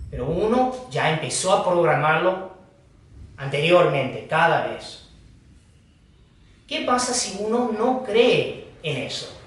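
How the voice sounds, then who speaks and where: A man who is a Buddhist teacher, giving a dharma talk in a slow, halting manner with pauses and fillers. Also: fairly high pitch, slight background noise. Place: hall of a foundation. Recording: echoey room, distant mic.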